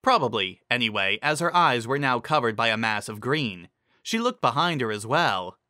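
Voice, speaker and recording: A narrator reads fantasy fiction in a dramatic, scripted style. The pitch moves in a very lively way and the tone is bright and forward. The recording is close and dry. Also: moderate pace, dry recording.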